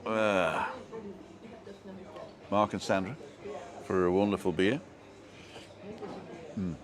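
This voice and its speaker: A man from Manchester with a soft local English accent, hosting a casual live stream and chatting with viewers as he reads their comments. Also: sits low, steady tone, takes it slowly, a crowd in the background. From Manchester, England.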